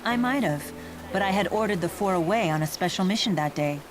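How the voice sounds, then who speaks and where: A dignified spirit lord in female form voiced by an actress for a game. She speaks formally and earnestly in a lowish, composed voice, with clear music behind her. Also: animated, a little fast, close to an everyday voice. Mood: wistful, composed. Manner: composed, matter-of-fact, formal.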